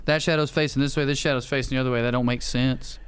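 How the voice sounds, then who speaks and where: A man's voice: mid-range voice, steady tone, quick pace, clear, resonant tone. A man co-hosting a casual comedy podcast, debating in colloquial, folksy phrasing. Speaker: podcast co-host.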